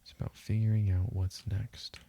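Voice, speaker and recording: A man giving calm, soothing game commentary in a hushed, deliberate voice that drifts toward a whisper, as if for sleep. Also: lowish voice. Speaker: gaming video host. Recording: faint hiss with keyboard and mouse clicks. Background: keyboard typing and mouse clicking.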